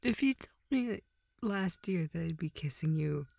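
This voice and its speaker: A woman playing a shy, tender young woman in an intimate romantic audio roleplay, with soft breathy speech that often drops to a whisper and a halting, flustered, affectionate manner. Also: lowish voice, lively intonation, no room sound, clean studio sound. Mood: bashful affection. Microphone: close microphone, dry.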